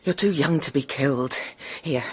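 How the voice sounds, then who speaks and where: A woman's voice: sits low, moderate pace, slightly rough. An actress playing a frightened young woman in a radio drama, speaking with urgency and strong emotion. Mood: pitying.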